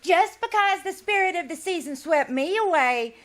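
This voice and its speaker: A woman's voice: extremely high pitch, lively intonation, moderate pace. A woman playing a fussy, guilt-tripping older landlady in a live radio comedy, sweetly martyred and wheedling. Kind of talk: live old-time radio comedy acting.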